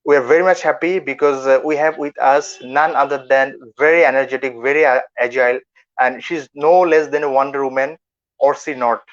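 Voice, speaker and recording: A man's voice: medium pitch, steady tone. A man moderating an online webinar, introducing guests formally with many hesitations. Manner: halting, many fillers. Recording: dull lo-fi audio.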